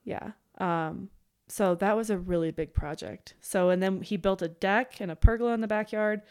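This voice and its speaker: A woman in her late twenties, a podcast guest, chatting in an animated way with quoted asides. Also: medium pitch, moderate pace, smooth. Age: late 20s. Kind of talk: casual chat.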